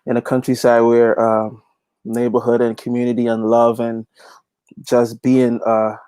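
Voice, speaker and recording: A man's voice: medium pitch, monotone, unhurried, warm tone, smooth, dry recording. A man with a Guyanese accent chatting casually as an interview guest, easygoing and folksy. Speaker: interview guest, gospel reggae artist. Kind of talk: casual chat.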